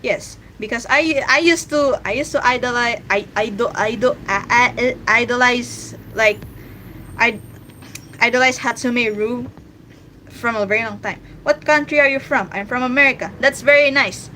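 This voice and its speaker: Bright-voiced Filipino woman chatting. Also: a little high, moderate pace, a little hiss or hum, low-quality recording. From Philippines. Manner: chatty, rambling with many restarts. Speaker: streamer. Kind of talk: casual livestream chat while drawing.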